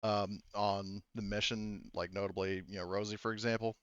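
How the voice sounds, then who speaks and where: A man's voice: on the low side, little pitch movement, moderate pace, clean tone, no echo, dull audio. A man running a tabletop roleplaying game as game master, talking casually and often restarting his phrases.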